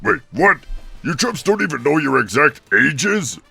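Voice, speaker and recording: A man voicing a huge, vain, grumpy turtle-monster villain in a cartoon parody, with a gravelly, blustering growl. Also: fairly high pitch, very animated, measured pace, pushed and bright, soft background music, heavily acted. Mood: indignant. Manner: blustering, pompous. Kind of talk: cartoon fan-parody voice acting.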